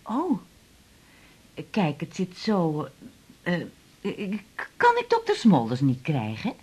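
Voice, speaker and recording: A woman's voice: lowish voice, huge pitch swings, takes it slowly, darkish tone, slightly rough, exaggerated voice. An actress playing a middle-aged mother, fussy and stammering, who chats hesitantly about her teenage daughter. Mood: fretful. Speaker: patient's mother. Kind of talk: 1980s radio drama acting.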